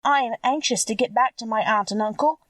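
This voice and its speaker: A woman's voice: fairly high pitch, a little fast, fairly bright tone, no echo, far from the mic, voice pushed up. A woman voicing an anxious, innocent little girl, pleading and homesick, in a storybook reading. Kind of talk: audiobook character voice.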